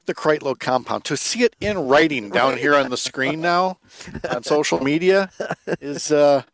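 A middle-aged or older man co-hosting a radio show in casual banter, with a halting, wry way of talking. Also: fairly high pitch, expressive, fairly bright tone.

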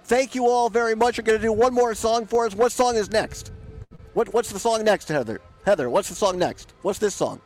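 A male radio host broadcasting live from a festival, with a voice pitched high for a man. He sounds eager and promotional, and trips over his own questions as he goes. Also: a little fast, faint music behind, poor audio. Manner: enthusiastic, promotional, stumbling. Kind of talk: live remote radio interview.

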